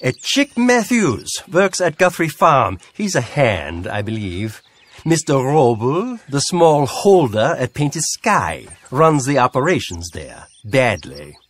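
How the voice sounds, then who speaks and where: Prim German moneylender, animated pitch. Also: male voice, takes it slowly. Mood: smug. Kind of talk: video game cutscene acting.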